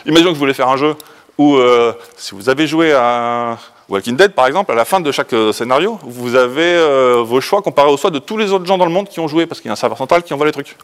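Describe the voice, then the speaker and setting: A man's voice: mid-range voice, quick pace. A man in his thirties with a French accent presents in a lecture room, informally and with self-deprecating jokes. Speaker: conference speaker. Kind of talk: informal tech presentation with live demo.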